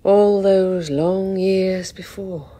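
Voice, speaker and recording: An actress playing a haunted, despairing wife in a radio horror drama, halting and breathy, often sinking toward a whisper. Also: female voice, mid-range voice, fairly even intonation, warm tone. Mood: haunted, guilty. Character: haunted, despairing English wife, tender and fearful.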